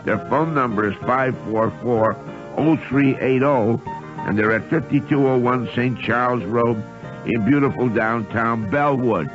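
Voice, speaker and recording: A man's voice: medium pitch, measured pace. A man hosting a radio show, reading a sponsor plug live on air. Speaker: radio host. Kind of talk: live sponsor read and chat with a caller. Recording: dull radio aircheck with music behind.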